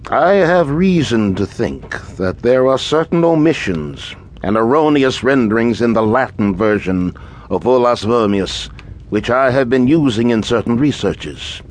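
Radio drama occultist, played by a man: learned employer, secretive, measured, formal diction. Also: medium pitch, lively intonation, natural voice. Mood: scholarly, intent. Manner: formal, erudite, measured speech. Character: scholarly, secretive male occultist, nervous employer.

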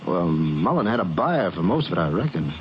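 An actor playing a cold, murderous farmhand with a rural American accent in a 1950s radio western. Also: male voice, low-pitched, big pitch swings, fairly quick. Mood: evasive. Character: murderous farmhand, cold and menacing, feigning drowsy innocence. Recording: noisy, dull old radio recording.

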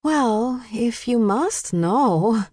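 A woman voicing a polite, guarded Mexican woman detective who speaks brightly and evasively in an audiobook. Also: on the high side, animated, extremely slow, no echo, studio quality, voice pushed up, heavily acted. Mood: politely guarded.

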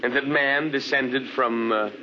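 An actor playing a pompous, mocking imperial governor and soldier, in 1950s radio acting with a dull recording. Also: male voice, mid-range voice, steady tone, measured pace, bright, forceful tone, slight background noise. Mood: scornful. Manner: pompous, mocking, laughs at others.

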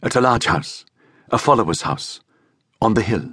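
A man's voice: lowish voice, lively intonation, normal speed, a bit bright, breathy edge, dry recording, studio quality. A man voicing a weaker younger man in a narrated story, emotional and wavering between scorn and submission. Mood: hushed, submissive. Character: Judas, weaker younger man, conflicted, scornful, easily swayed.

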